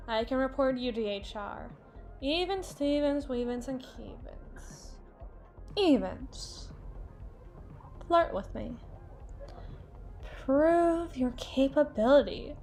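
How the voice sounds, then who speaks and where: A high-voiced, haughty lady boss played by a voice actress, tipsy and melodramatic. She draws her words out slowly and theatrically in a flirty ASMR roleplay, with music playing behind her. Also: darkish tone, exaggerated voice. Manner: theatrical, drawn-out, playful wordplay, slipping into breathy whispers. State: acting tipsy.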